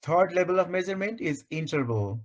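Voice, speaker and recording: A man with a fairly high voice teaches an online class. He explains in short, segmented phrases, deliberately restating each point as a tutor would. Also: expressive. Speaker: statistics tutor, lecturer. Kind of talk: explanatory online lecture over slides.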